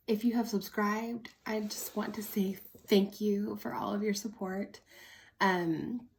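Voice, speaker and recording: A woman's voice: mid-range voice, darkish tone. A woman in her early thirties from Oklahoma with an American accent, telling a personal story in a casual, candid, chatty way as a vlogger. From Oklahoma, United States. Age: early 30s.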